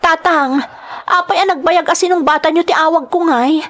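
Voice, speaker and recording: A woman's voice: very high-pitched, normal speed, fairly bright tone. A woman acting an emotional, wronged wife and mother in a radio drama, with an Ilocano accent. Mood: anxious, demanding. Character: distressed wife and mother, wronged by her husband. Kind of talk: radio drama acting and first-person narration.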